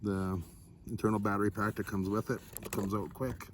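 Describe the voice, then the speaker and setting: A man with a fairly low voice talks viewers through a product review, unscripted and casual. He rambles along in a laid-back, folksy manner, with clear background noise like that of a vehicle in the recording. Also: level tone, a little fast.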